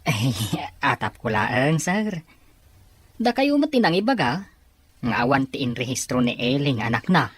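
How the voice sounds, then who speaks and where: A man's voice: mid-range voice, very animated, moderate pace, slightly rough, dry recording. A man playing a respectful, concerned aide in an audio drama.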